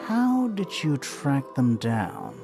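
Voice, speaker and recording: A man voicing a curious, puzzled detective in a video game, questioning in an inquisitive, expressive way. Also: mid-range voice, slow pace, gravelly, over music, exaggerated voice. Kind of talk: scripted game dialogue.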